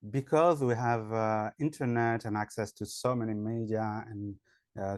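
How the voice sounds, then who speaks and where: A man's voice: medium pitch, no room sound, clean studio sound. A man with a French accent, an online English teacher, answering interview questions in a hesitant way with many fillers. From France.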